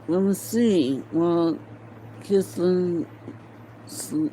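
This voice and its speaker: A woman reads aloud in a soft-edged, mellow voice at an unhurried pace. She stumbles over words, restarts and drops into casual muttering to herself. The homemade recording carries some faint background noise. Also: fairly low pitch, poor audio. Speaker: amateur reader of a novel aloud. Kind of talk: informal read-aloud with casual asides.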